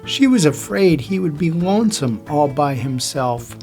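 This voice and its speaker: A man's voice: a little high, lively intonation, unhurried, darkish tone, soft background music. An older man reading a children's picture book aloud, with dramatic emphasis on key words. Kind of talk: scripted storybook read-aloud.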